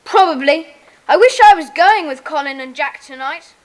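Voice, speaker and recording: High, bossy, eager schoolboy leader. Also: female voice, animated, normal speed. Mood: worried, decisive. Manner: decisive, commanding, schoolchild diction. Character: bossy, eager boy leader of a children's secret club. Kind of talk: children's audio drama acting. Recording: reverberant, hall-like echo.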